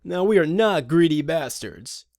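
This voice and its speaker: A man's voice: fairly high pitch, lively intonation, a little slow, darkish tone, dry recording, clean studio sound, voice pushed up. A man voicing a menacing, mocking street-gang robber in an audio drama. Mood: mocking threat.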